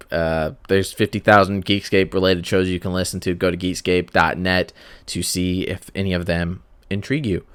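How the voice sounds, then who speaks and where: A man with a lowish, smooth and clear voice hosts a podcast on his own. He chats casually and rambles, often breaking off his own sentences for self-aware asides. The recording is close and dry, with no room sound. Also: no room sound. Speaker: podcast host. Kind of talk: casual solo podcast chat.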